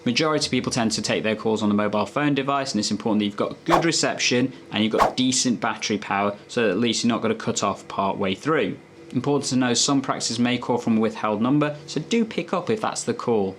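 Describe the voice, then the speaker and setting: A man's voice: medium pitch, fast talker. Male presenter with background noise. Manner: conversational, instructive. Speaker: doctor presenting health tips. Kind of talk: presenter explainer to camera, addressing viewers.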